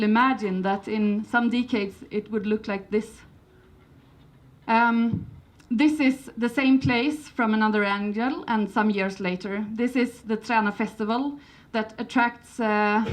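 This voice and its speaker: Swedish-accented woman giving a conference talk. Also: a little high. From Sweden. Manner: hesitant, many fillers and restarts. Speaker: conference speaker. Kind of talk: conference presentation.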